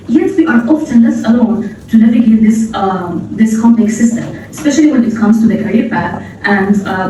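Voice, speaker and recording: A woman student candidate delivering a measured, prepared video manifesto. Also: medium pitch, mellow, slight background noise. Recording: dull, very echoey playback from far off.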